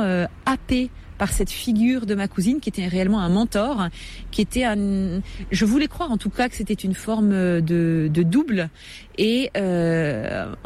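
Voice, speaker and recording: Woman, forties, from France, writer, reflective personal account, hesitant, many pauses, warm mellow voice. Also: mid-range voice, a little hiss or hum. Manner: hesitant, frequent "euh" pauses, careful rephrasing.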